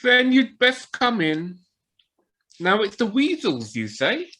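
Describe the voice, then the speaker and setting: Audio play badger, played by a woman: gruff, scolding elder, slow and authoritative, hugely animated intonation. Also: a little high, full character voice. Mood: concerned. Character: gruff, stern, bossy older male badger. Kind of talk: community audio play acting. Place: living room, recorded over video call.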